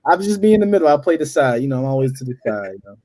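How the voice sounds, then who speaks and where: A man's voice: fairly high pitch, racing. A man from Philadelphia, a panel guest in a casual video call chat, telling stories with street slang. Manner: lively storytelling with slang and laughs. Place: home room on a video call.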